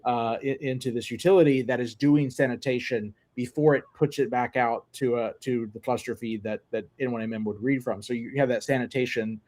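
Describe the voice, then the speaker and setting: A man's voice: mid-range voice, steady tone, fairly quick, few pauses, a little mellow, no echo. Hesitant man in a dry ham radio chat. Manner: hesitant, searching for words. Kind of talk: casual technical chat.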